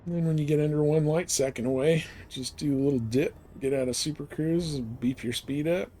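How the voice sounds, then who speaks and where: A man's voice: on the high side, a little fast, warm tone. A man giving casual live commentary as he streams a game, with some background noise.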